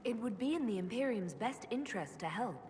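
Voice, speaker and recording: An actress playing an earnest female leader in a fantasy video game, speaking in a measured, questioning way. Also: fairly high pitch, sing-song. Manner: measured, inquisitive, composed. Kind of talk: video game character dialogue. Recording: reverberant hall, clear background ambience noise.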